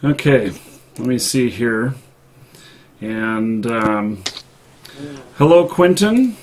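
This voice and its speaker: A man hosting a live show, chatting off the cuff with callers in a polite, halting and hesitant way. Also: mid-range voice, lively intonation, a little hiss or hum. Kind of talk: unscripted call-in show chat.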